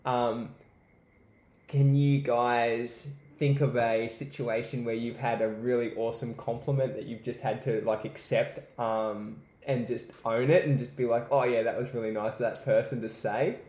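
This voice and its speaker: A man's voice: medium pitch, fairly even intonation, moderate pace, darkish tone. An easygoing, upbeat man interviewing podcast hosts, often saying "you know". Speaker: guest interviewer. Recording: roomy echoey room.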